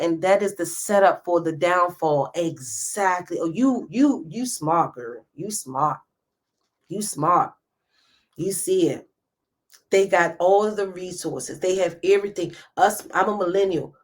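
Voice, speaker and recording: A woman in her thirties hosting a live stream, giving a passionate motivational talk straight to her viewers. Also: on the low side. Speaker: host.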